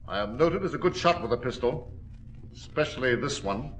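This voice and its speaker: An actor playing a cold, cruel villain with polite, formal menace in 1940s radio style. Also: male voice, mid-range voice, expressive, normal speed, a bit bright, husky, low-quality recording. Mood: smooth menace. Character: suave, cold, cruel male villain with a pistol. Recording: old 1940s radio recording.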